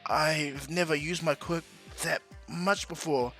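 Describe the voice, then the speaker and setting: A man's voice: on the high side, fairly even intonation, takes it slowly, sharp tone, soft background music, exaggerated voice. A man voicing a nervous, earnest teenage boy hero in an anime story, hesitant and full of wide-eyed exclamations. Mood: awed, afraid.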